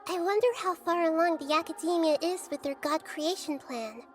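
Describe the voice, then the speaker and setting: A woman voicing a gentle, wise, childlike goddess in a video game, soft and earnest in a thoughtful, measured way. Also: very high voice, faint music behind. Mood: focused. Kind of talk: video game cutscene voice acting.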